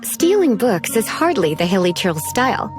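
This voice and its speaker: A woman's voice: medium pitch, big pitch swings, normal speed, lightly acted. An actress playing a confident, teasing young female mage librarian in a video game, with a coy, poised manner. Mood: dismissive. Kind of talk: video game character acting. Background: game music.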